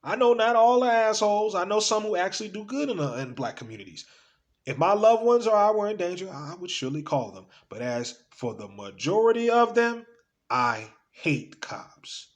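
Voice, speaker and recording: Young American man, played by a male actor, bitter and frank stage monologue, widely varied pitch, emphatic pauses. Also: lively intonation. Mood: defiant, frank. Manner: emphatic, conversational, swearing, with deliberate pauses. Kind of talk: dramatic theatrical audition monologue.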